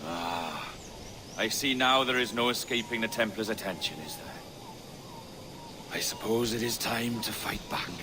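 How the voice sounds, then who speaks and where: A calculating pirate captain with a low, hushed voice, as a man plays him. He speaks slowly and with scorn, every word weighed. Game ambience and noise fill the background. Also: lightly acted. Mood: brooding. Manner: measured, scornful, quietly menacing. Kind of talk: video game cutscene acting.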